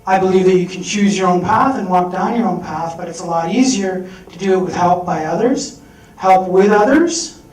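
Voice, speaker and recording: A man's voice: high voice, normal speed, a little mellow. A young man on stage at a fundraising event, telling a candid personal story and acting out the voices of people he quotes. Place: event hall with audience. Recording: echoey hall recorded from far off.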